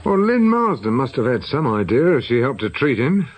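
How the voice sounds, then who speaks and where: An actor playing a British investigator in a radio drama, asking short, probing questions with controlled insistence. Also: male voice, mid-range voice, very animated, moderate pace, lightly acted. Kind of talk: naturalistic radio drama acting.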